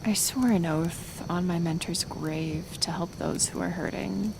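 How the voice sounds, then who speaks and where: Slow, gentle half-elf healer played by a woman. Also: on the low side, creaky, background noise. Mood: solemn, wistful. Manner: soft, hesitant, soothing, with pauses. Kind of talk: intimate ASMR roleplay acting.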